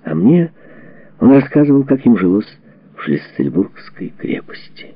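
A man reading a memoir as a scripted audiobook narration, with measured, dramatic phrasing and expressive delivery. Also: on the low side, slightly rough, no echo. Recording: dull, muffled lo-fi audio.

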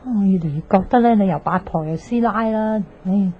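A woman's voice: mid-range voice, brisk, breathy edge, natural voice. An actress with a Hong Kong Cantonese accent playing a sassy, nosy, teasing young woman in a radio drama. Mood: teasing, blunt. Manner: teasing, blunt. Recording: dull, noisy radio drama recording.

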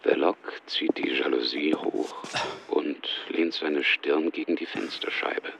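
An actor as a terse, plain scene-setting narrator in a German radio play. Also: male voice, very deep voice, fairly even intonation, a little slow, vocal fry, a bit bright, slight background noise, heavily acted. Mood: neutral. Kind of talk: present-tense scripted narration.

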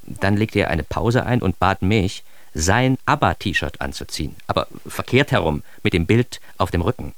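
A man's voice: low voice, expressive, moderate pace, no room sound. An actor playing a grown son narrating his embarrassed adolescence in a radio drama, with an ironic, mock-formal, theatrically indignant delivery. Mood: wry, confessional.

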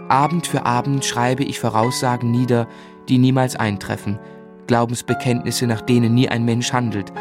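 A man's voice: mid-range voice, lightly acted. An actor playing a tender, dreamy young man in love who narrates his life lyrically and reflectively in a literary radio play. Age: young adult.

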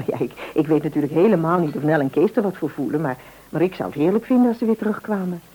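Middle-aged mother, lowish husky voice, warm-hearted, practical, expressive, radio drama. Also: fast, rough recording. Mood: caring. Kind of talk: radio play acting.

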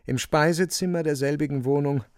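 A man narrating a literary radio drama in a measured, precise storytelling manner, close on a studio microphone. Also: on the high side, smooth, no room sound.

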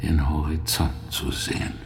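An actor playing an aged, blind corporate founder in a radio drama, solemn and philosophical. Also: male voice, rumbling low, level tone. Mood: solemn, contemplative. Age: older. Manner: slow, solemn, philosophical delivery. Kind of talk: German science-fiction radio drama acting. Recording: echoey, music under the voice.

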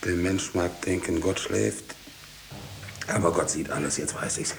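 An actor playing a passionate, guilt-ridden husband and farmer in a theatrical 1950s German radio drama. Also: male voice, low-pitched, unhurried, gravelly. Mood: broken, confessing. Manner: impassioned, theatrical. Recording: echoey, faintly hissy 1950s radio recording.

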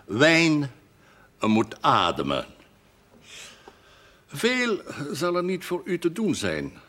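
Self-satisfied older parish priest, pompous, ornate wording, very animated pitch, television drama. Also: male voice, exaggerated voice. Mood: pompous, stately. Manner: pompous, ornate, deliberate phrasing.

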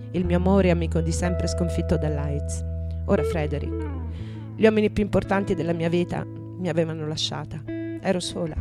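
A woman's voice: fairly low pitch, close to an everyday voice. A woman playing a grieving middle-aged female rock singer in a reflective, confessional radio theatre monologue. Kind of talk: dramatic radio theatre monologue over music.

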